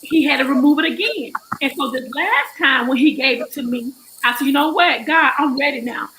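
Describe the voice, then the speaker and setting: Guest, female, high bright voice, preacherly testimony delivery. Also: lively intonation, brisk, a little hiss or hum, poor audio. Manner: preacherly, emphatic, rising into exhortation. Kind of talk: testimony on a live online talk show.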